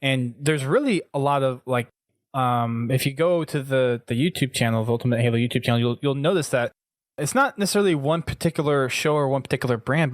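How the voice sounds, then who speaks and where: A man hosting a casual podcast interview in a measured, conversational way. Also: mid-range voice, no echo, clean studio sound.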